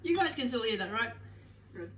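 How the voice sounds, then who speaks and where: A woman's voice: on the high side, very animated, a little fast, fairly bright tone. Woman, nasal, chatty and rambling, recorded at a distance, household noise behind. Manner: rambling, chatty, lots of fillers and self-corrections. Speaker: vlogger. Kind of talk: casual get-ready-with-me vlog. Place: home kitchen and rooms, indoors. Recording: echoey room, voice off-mic, household noise.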